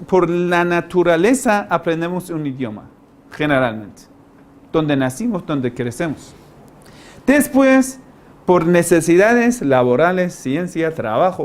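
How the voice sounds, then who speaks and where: Male teacher, foreign-accented non-native speaker, slightly high voice. Informal, didactic lecture delivery, halting, with emphatic key words. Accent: foreign, non-native Spanish. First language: not Spanish. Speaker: language-learning teacher, lecturer.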